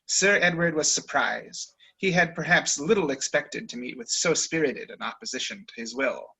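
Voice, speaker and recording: A man's voice: a little high, animated, normal speed, pushed and bright, breathy edge, full character voice, poor audio. A man reading the part of a proud, rebellious young man of feeling, speaking with grand defiance. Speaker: reader in a dramatic reading.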